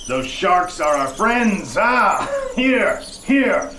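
An actor playing an excitable, morbid lighthouse keeper in an audio horror drama, theatrical and exclamatory. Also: male voice, high voice, drawn-out words, full character voice. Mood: cruel glee. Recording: echoey, noisy radio play sound.

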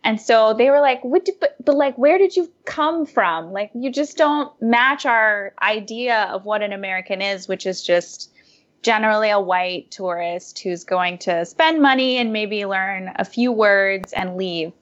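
A young woman answers interview questions in a thoughtful, animated way, often restarting her sentences. Her voice sits at a middle pitch and comes through a muffled, lo-fi line. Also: unhurried. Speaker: guest, former diplomat and food blogger. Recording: muffled lo-fi audio.

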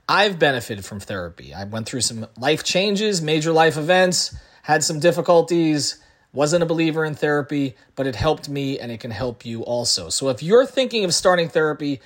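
Male ad reader, slightly high voice, scripted podcast sponsor spot. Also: fairly bright tone.

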